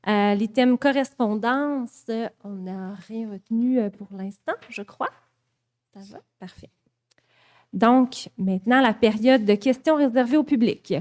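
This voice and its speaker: A woman with a Québécois accent chairs a city council meeting as acting mayor. Her voice sits a little high, and she leads the meeting in a friendly, informal way. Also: unhurried, darkish tone. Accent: Québécois French.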